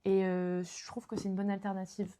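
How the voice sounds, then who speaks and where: A woman's voice: medium pitch, monotone, a little fast, warm tone. Young woman streamer, casual book chat, rambling with fillers. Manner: chatty, lots of fillers and restarts. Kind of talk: casual chat about books.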